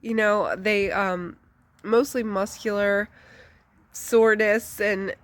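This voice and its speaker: A woman talks straight to her viewers in an unpolished, candid way, with plenty of ums and likes. Her voice is stuffy and congested, still recovering from the flu, at an ordinary middle pitch. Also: fairly even intonation, slow pace, dry recording. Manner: chatty, candid, with frequent fillers. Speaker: vlogger. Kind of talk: casual personal life update.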